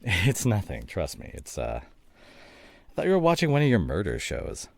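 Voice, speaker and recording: Devoted, remorseful husband for intimate audio roleplay, male actor: gravelly, breathy, unhurried delivery that moves around expressively and drops soft. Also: low voice, huge pitch swings, no echo, heavily acted. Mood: casual, teasing. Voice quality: husky, gravelly, often breathy. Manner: soft, halting, drops into whispers. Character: remorseful, devoted husband nursing his injured wife, teasing. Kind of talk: intimate ASMR roleplay drama.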